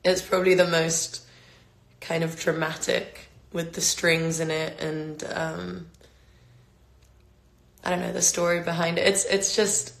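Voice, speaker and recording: A woman's voice: fairly low pitch, flat delivery, measured pace, vocal fry. A woman in her twenties with a London accent, casually answering fan questions on a phone livestream, rambling with lots of fillers and restarts. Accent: London English. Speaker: singer answering fans' questions. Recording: echoey room on a phone livestream.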